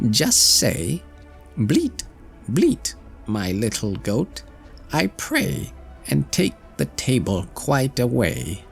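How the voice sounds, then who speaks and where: A man voicing a kindly wise old woman from a fairy tale who gives gentle, slow instructions. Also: mid-range voice, creaky, pitched above the performer's own voice, full character voice.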